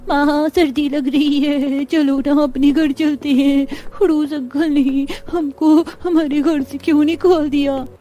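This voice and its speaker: High, plaintive small child voiced by a woman. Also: flat delivery, slow pace, hardly any pauses, mellow.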